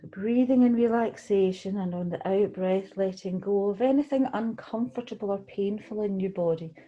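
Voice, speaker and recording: A woman leading a guided relaxation meditation, speaking gently and soothingly, unhurried, with pauses between phrases. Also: medium pitch, lo-fi sound. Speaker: guided meditation leader, tai chi qigong teacher.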